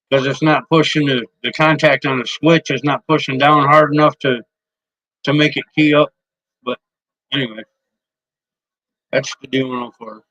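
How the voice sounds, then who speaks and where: A man's voice: fairly high pitch, fairly bright tone, poor audio. A man rambling casually about his CB radio microphones, swearing freely and often restarting his sentences. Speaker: CB radio hobbyist streamer. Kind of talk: casual rambling show-and-tell.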